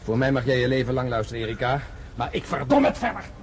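Blunt astronaut, noisy surroundings. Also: male voice, mid-range voice, big pitch swings, fast talker. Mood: irritated. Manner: blunt, irritable. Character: gruff, sceptical, impatient male astronaut survivor. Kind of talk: science-fiction radio drama acting.

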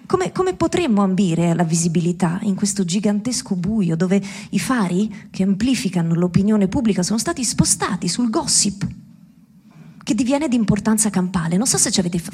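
Sardonic, slightly husky woman giving a monologue. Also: medium pitch, warm tone. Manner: rhetorical questions, emphatic repetition, sardonic swearing. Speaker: speaker at a benefit event for Gaza. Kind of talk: impassioned satirical monologue to an audience. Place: live public event, speaking to an audience.

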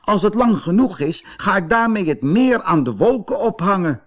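A man's voice: high voice, animated, moderate pace, pitched above the performer's own voice. A man voicing a plucky, cunning young orphan boy in a fairy-tale audiobook, speaking with polite, cheeky bluff. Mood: bold bluffing.

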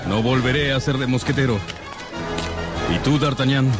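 An actor playing a jovial, roguish musketeer in a radio drama. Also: male voice, medium pitch, fairly even intonation, moderate pace, natural voice. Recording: music behind the voice.

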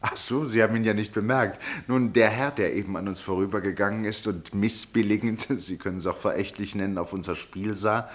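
A male actor voices a cultivated gentleman narrating a radio drama. His voice sits very low with a husky grain. He speaks in measured phrases, with dry irony and an air of detachment. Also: lightly acted. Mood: cool, ironic. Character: wry, detached gentleman narrator and amateur chess player. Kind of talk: German radio play narration and dialogue.

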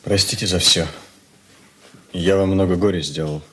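An actor playing a troubled, ashamed young son in an earnest radio drama confession. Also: male voice, low voice, steady tone, moderate pace, bright, forceful tone, a little hiss or hum. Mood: anguished. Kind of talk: Soviet radio play acting.

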